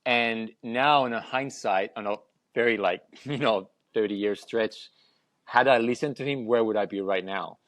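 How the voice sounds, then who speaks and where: Reflective man, low and dry. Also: unhurried, a bit bright, no echo. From Finland. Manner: reflective, repeats words as he thinks. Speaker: guest, health and lifestyle coach. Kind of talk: conversational podcast interview.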